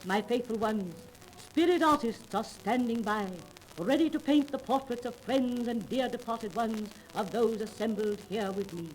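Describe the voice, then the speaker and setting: An actress playing a commanding, mysterious fake spirit medium, grandiose and solemn, in a 1930s radio drama recording. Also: female voice, fairly high pitch, normal speed, a little mellow, a little hiss or hum, lightly acted, low-quality recording. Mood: solemn, ceremonious.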